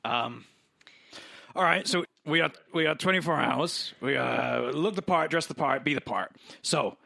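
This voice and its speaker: A man playing an awkward, over-eager male broker in a tabletop game, halting and stammering. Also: fairly high pitch, creaky, pushed and bright, full character voice. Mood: eager, scheming. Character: hustling, awkward, easily embarrassed male broker.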